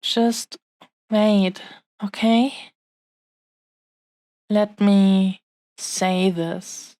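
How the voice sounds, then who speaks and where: A woman playing a tender, possessive dragon-blooded fiancée in an intimate romantic audio roleplay, speaking haltingly with lingering, breathy pauses. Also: medium pitch, fairly even intonation, warm tone, dry recording. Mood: earnest, devoted.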